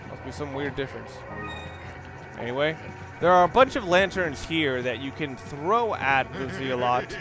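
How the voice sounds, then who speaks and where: A man giving casual video game commentary in a halting, rambling way, often rephrasing himself as he goes. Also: mid-range voice. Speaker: video game let's player.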